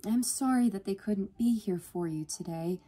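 A woman's voice: high voice, slow pace, dark tone, voice pushed up. A woman voicing a cheery, sentimental older sister in an audiobook, gushing and effusive. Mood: apologetic. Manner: swooning, effusive. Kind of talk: audiobook character dialogue.

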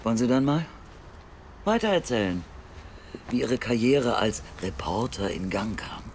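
Deep-voiced woman interviewer, brusque and teasing, animated intonation, naturalistic audio drama. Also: measured pace, a little hiss or hum. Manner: blunt, teasing, informal.

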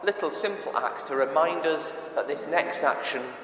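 A man's voice: a little high, moderate pace, fairly bright tone, a little hiss or hum. Echoey church sermon from a male preacher. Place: large reverberant church interior. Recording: echoey church with long reverb.

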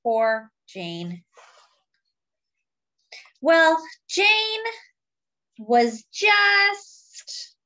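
A woman reading a picture book aloud to young children and commenting on the pictures, expressively and with pauses for emphasis. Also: very high-pitched, sing-song, sharp tone, highs cut off. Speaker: children's storybook reader.

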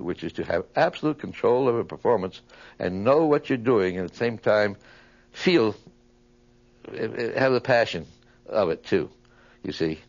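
A man reflects thoughtfully in an interview, circling back over his points. His voice sits fairly low, and the recording is a little dull. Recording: dull, muffled audio.

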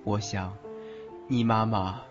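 A man's voice: on the low side, fairly even intonation, slow pace, lo-fi sound. A man acting in an audio drama as a brash but doting, teasing teenage schoolboy. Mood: gentle. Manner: teasing, affectionate. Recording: band-limited, background music throughout.

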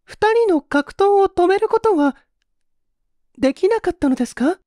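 Man voicing a high, soft, demure young woman. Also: expressive, moderate pace, dry recording, clean studio sound. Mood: hesitant, questioning. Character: polite, grateful young woman, devoted daughter, gently inquisitive.

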